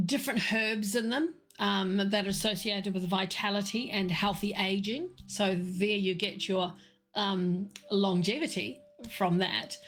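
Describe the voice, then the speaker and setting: A middle-aged woman hosting a live chat about tea, talking slowly with lots of fillers, her voice a little croaky. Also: mid-range voice. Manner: slow, meandering, many fillers and restarts. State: slightly croaky. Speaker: livestream host answering viewers.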